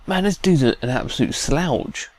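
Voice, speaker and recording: A man's voice: fairly high pitch, animated, moderate pace, darkish tone. A man hosting a gameplay video, commenting casually and informally with playful exasperation. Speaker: gaming video host.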